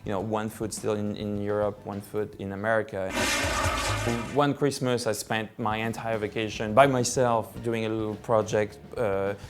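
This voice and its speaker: A middle-aged man with a French accent talks casually from his living room, circling back and restarting his sentences. His voice sits a little low, and soft music plays faintly underneath him. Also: level tone, normal speed. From France. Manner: rambling, many fillers and restarts. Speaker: film director. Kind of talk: casual personal storytelling.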